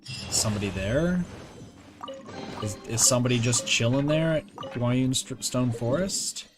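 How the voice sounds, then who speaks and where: A man's voice: medium pitch, unhurried. A young man giving casual game stream commentary, slangy and repetitive, with casual swearing. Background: game music.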